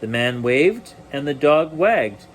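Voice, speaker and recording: A man reading a children's bedtime story aloud expressively, with deliberate dramatic pauses. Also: on the high side, sing-song, a little hiss or hum.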